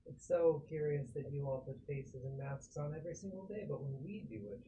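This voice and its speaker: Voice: mid-range voice, a little fast, mellow. A tabletop roleplaying player talking casually in character as an android. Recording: noisy, dull lo-fi sound.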